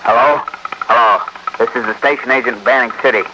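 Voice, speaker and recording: An actor playing a brisk, blunt small-town station agent with urgency in a 1950s radio drama. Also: male voice, mid-range voice, fairly even intonation, close to an everyday voice. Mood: urgent, blunt. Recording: noisy, muffled 1950s radio recording.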